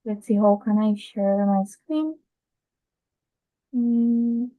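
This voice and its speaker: A woman with a Spanish accent presents informally on an online call. Her pace is slow and her pitch sits in the middle for a woman. The phrasing is simple and a little halting. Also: mellow, poor audio. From Spain. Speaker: guest presenter.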